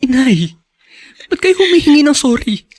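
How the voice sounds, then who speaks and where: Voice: sits high, very animated, moderate pace, darkish tone. A determined young adult in a Filipino radio drama, devoted to a parent. The delivery is earnest, respectful and emotional. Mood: earnest, pleading. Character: devoted, determined young student child of ailing mother.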